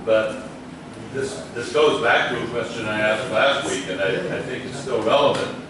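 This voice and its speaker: A man's voice: mid-range voice, normal speed. A man in an audience making an opinionated, expository comment that leads into a question. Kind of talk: discursive question from the floor. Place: echoey indoor lecture room. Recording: very echoey room, distant mic, noisy.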